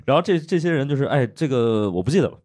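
A man's voice: mid-range voice, sing-song, fast, darkish tone. A man hosting a podcast with casual, comedian-style banter. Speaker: podcast host, stand-up comedian.

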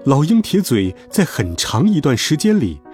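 A man narrating a children's story in an expressive, scripted storytelling style. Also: on the high side, moderate pace. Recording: music behind the voice. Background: music.

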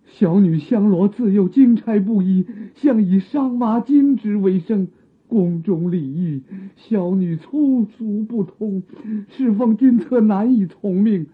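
A man playing a humble old village father in a period radio drama, speaking with husky, theatrical earnestness. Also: high-pitched, slow pace, heavily acted. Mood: pleading. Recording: muffled phone-like old radio sound.

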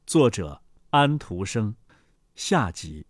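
A man narrates a fairy tale expressively from a script. His mid-pitched voice is husky and very animated in intonation, with a dry, close sound. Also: takes it slowly, no echo.

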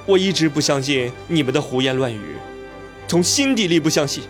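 A man's voice: fairly high pitch, lively intonation, a little fast, soft background music. An actor playing a skeptical, stubborn male journalist in a radio drama, speaking in an emotional, protesting way. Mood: shaken, defensive.

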